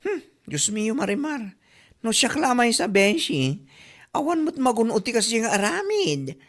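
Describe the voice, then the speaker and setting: A woman's voice: a little high, very animated, a little slow. A woman playing a gossipy, mocking coworker in a comedy drama, with an exaggerated Ilocano Filipino accent. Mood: mocking gossip.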